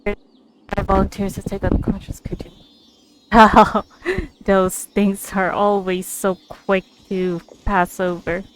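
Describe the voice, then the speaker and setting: A woman's voice: medium pitch, flat delivery, slow, a little hiss or hum, poor audio. A woman giving casual let's play commentary, reacting and musing aloud as she goes. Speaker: video game streamer.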